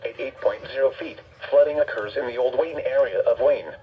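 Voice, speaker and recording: A synthetic male announcer reading a scripted emergency weather bulletin in a flat, formal way through a weather radio speaker. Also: medium pitch, a little fast, faint music behind. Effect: played through weather radio speaker. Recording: dull radio speaker, faint background noise.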